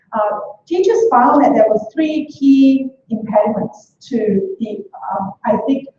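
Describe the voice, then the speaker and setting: Woman lecturing from afar in an echoey hall. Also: fairly high pitch. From Singapore. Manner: hesitant, many fillers and restarts. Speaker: education researcher presenting at a workshop. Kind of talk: conference talk. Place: lecture room. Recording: echoey hall from far off, dull sound.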